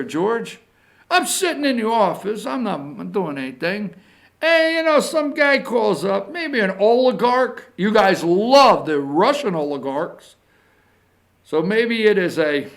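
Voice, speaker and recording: A man's voice: sits high, gravelly, fairly bright tone. A man hosting a political talk show, giving off-the-cuff opinionated commentary in an exasperated, emphatic way, often repeating his phrases.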